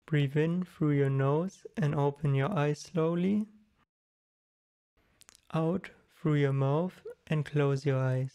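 A man leading a guided meditation, giving calm, measured instructions in short phrases. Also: a little high, smooth.

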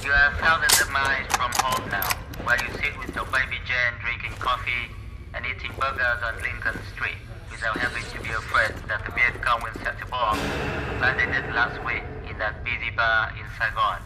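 A man's voice: medium pitch, flat delivery, moderate pace, close to an everyday voice. A male actor delivering a scripted monologue in a war game, as a voice addressing soldiers far from home. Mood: measured, ominous. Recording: very loud music behind.

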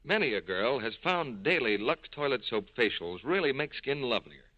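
A man working as a 1940s radio announcer, delivering a polished scripted sales pitch. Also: very animated, moderate pace, no echo, muffled recording.

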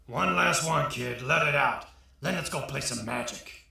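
An actor playing a gruff, wisecracking, drunken God in a comic drama, folksy and blunt, recorded in an echoey room. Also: male voice, mid-range voice, a little slow, sharp tone, heavily acted. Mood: gruff, jovial. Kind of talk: stage-style comic drama dialogue. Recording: very echoey room, faint noise.

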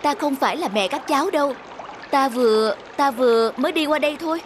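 A woman voicing a caring, motherly adult female catfish who reluctantly fosters young fish in an animated fairy tale. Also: high voice, a little fast, background noise, lightly acted. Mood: flustered, reluctant.

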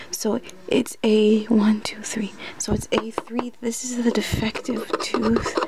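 A woman with a fairly high, soft voice performs an ASMR roleplay, often dropping into a breathy whisper. She mutters hesitantly to herself as an unsure student. The close-miked recording has clear background noise. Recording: noisy close recording with clicks.

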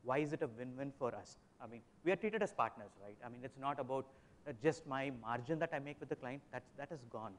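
Fast-talking man on a conference panel. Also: medium pitch, expressive, slight background noise. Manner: fast, emphatic, storytelling with examples. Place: large hotel conference hall.

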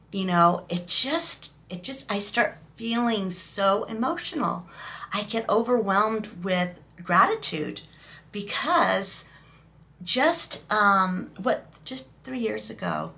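A woman in her fifties, hoarse from a recent cough, chatting emotionally to camera in a small room, rambling with fillers. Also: mid-range voice, pushed and bright. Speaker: vlogger. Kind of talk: casual talking-head vlog.

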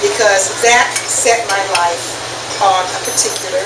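An older woman giving an informal personal talk to a small group in a restaurant, speaking deliberately with pauses. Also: medium pitch. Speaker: guest speaker at a business networking meetup. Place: restaurant dining room. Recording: echoey, noisy room, distant mic, loud background hum.

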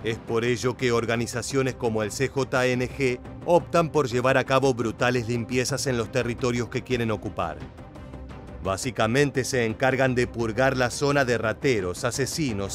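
A man giving scripted voice-over narration at a steady, measured pace. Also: medium pitch, faint music behind. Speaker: documentary narrator.

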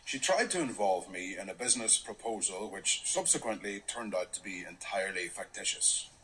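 An actor in a radio drama playing an earnest male local councillor with a deliberate, formal way of speaking. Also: fairly low pitch, lively intonation, a bit bright, poor audio. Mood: solemn, indignant. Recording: faint noise, played back through a speaker.

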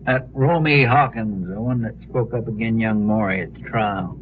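An American actor playing a deferential, good-natured deputy in a 1950s radio western, with a hesitant rural drawl. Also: male voice, lowish voice, moderate pace, lightly acted. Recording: tinny, noisy 1950s radio recording.

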